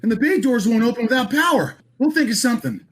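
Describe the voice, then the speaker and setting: A man's voice: very high-pitched, lively intonation, quick pace, a bit bright. An actor playing an earnest, eager young male superhero in a cartoon table read. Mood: urgent, determined. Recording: faintly noisy internet call.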